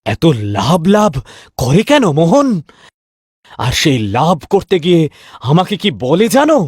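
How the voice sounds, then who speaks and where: A man's voice: high-pitched, sing-song, unhurried, husky, no room sound, studio quality, heavily acted. A man playing an ageing, principled sweet-shop owner and stern father in a cartoon tale, speaking earnestly and emphatically. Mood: upset. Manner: earnest, indignant when crossed, emphatic repeated questioning. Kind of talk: cartoon fairy-tale voice acting.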